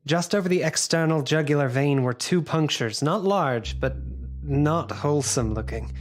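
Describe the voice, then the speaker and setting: An actor playing an earnest Victorian gentleman doctor who narrates gravely and formally in an audio drama. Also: fairly high pitch, lightly acted. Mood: grave recounting. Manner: grave, formal, measured storytelling.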